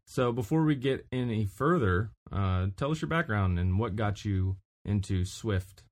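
Low-voiced man hosting an interview. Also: expressive, measured pace. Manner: brief, encouraging interjections. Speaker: podcast host. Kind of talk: casual interview.

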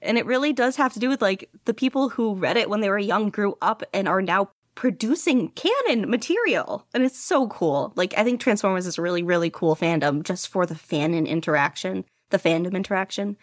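A woman's voice: medium pitch, lively intonation, fairly quick, few pauses, no echo, clean studio sound. A woman hosting a casual podcast chat, talking enthusiastically with lots of fillers and laughter. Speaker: podcast host.

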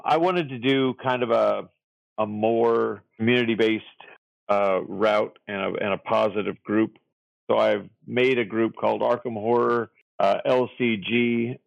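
A man's voice: lowish voice, vocal fry, dry recording, highs cut off. A man co-hosting a podcast in a casual, rambling way, full of fillers and restarts as he leads a community segment and trivia. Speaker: podcast co-host running trivia.

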